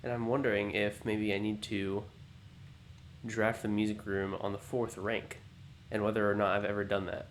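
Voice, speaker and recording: Male let's-play host, low groggy morning voice, unhurried thinking-aloud delivery, faint background hiss. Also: flat delivery. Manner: musing, unhurried, self-talking. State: low morning voice, just woken up. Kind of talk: thinking-aloud gameplay commentary.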